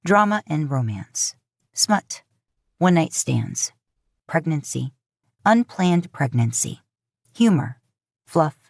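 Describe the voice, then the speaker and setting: A woman narrating scripted romantic fiction in a measured, expressive storytelling manner. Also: low voice, creaky, no echo, clean studio sound. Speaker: podfic narrator.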